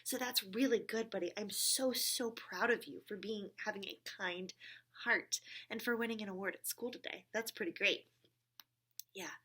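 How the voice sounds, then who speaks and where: A woman's voice: medium pitch, normal speed, bright, forceful tone, breathy edge. A woman hosting a church story time for children, speaking encouragingly and simply, with frequent check-in questions, as if chatting with a puppet friend. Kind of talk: talking to a puppet companion for young children.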